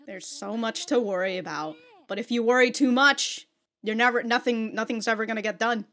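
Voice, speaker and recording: A woman's voice: a little high, a little fast, a bit bright. A woman giving casual reaction commentary as a video host, blunt and jokey, with slangy, sweary talk.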